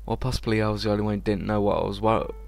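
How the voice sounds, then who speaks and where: Male let's play host: low voice, halting script reading, game music underneath. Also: fairly even intonation, creaky.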